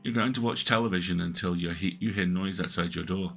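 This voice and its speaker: Low gravelly guy narrating a story game, bright edge, soft music behind. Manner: measured, atmospheric narration. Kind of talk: reading interactive fiction aloud on a stream.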